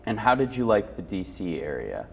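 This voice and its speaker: A man's voice: low voice, sing-song, normal speed, creaky, a little mellow, a little hiss or hum. A man interviewing a guest conversationally as host, encouraging and interested as he asks his questions.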